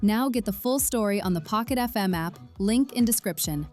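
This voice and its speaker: A woman's voice: medium pitch, animated, normal speed, darkish tone. A woman announcer reading an upbeat, inviting promotional outro. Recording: music bed under voice.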